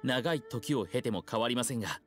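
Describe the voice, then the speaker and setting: A man's voice: mid-range voice, animated, a little fast, lightly acted. A man voicing a polite, bespectacled young male scholar in a game, deferential and a little hesitant. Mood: earnest. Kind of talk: game voice acting. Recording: game audio with music behind.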